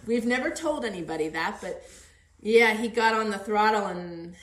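A woman's voice: medium pitch, moderate pace, a bit bright, reverberant, rough recording. A middle-aged woman hosting a casual conversational interview in an encouraging manner. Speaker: podcast host interviewing her son.

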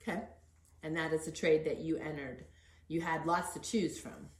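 A middle-aged woman hosts a casual podcast in an earnest, encouraging way. Her pitch sits somewhat low for a woman, and the room adds a clear echo around her voice. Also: steady tone, moderate pace. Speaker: podcast host. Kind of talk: casual conversational podcast interview.

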